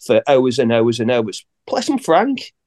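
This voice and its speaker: A man's voice: medium pitch, big pitch swings, unhurried, a little mellow. A man taking part as a panellist in casual podcast banter.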